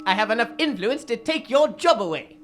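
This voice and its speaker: A man's voice: very high voice, animated, brisk, over loud music. A man playing a stern, shrewd detective in old-time radio drama style, grave and theatrical. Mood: stern, accusing.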